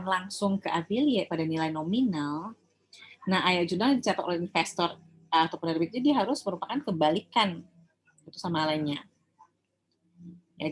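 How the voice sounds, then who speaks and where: A woman's voice: on the low side, slight background noise, poor audio. A woman with an Indonesian accent giving an informal lecture, explaining in a conversational way and often checking that listeners follow. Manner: conversational, often checking understanding with tag questions. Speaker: accounting lecturer.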